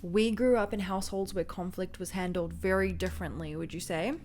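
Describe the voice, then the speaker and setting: A young woman with a low, loud voice, co-hosting a casual marriage advice chat and telling stories animatedly. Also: a little fast, a little hiss or hum. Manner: animated storytelling, acts out voices and quotes with laughs. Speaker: co-host of a marriage advice channel.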